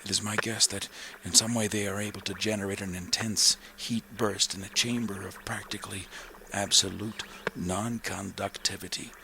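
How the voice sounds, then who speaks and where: An actor playing a grave, scholarly professor, speaking in a deliberate, halting, pedantic way for a radio drama. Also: male voice, on the low side, creaky, pushed and bright, a little hiss or hum, heavily acted. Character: grave, scholarly male professor, shaken eyewitness. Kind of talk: old-time radio drama monologue.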